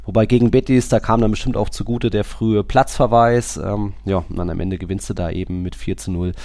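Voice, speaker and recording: A man's voice: fairly low pitch, fairly even intonation, fairly quick, darkish tone, dry recording, studio quality. A man co-hosting a football podcast, casually going through results and statistics. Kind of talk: casual sports commentary with statistics.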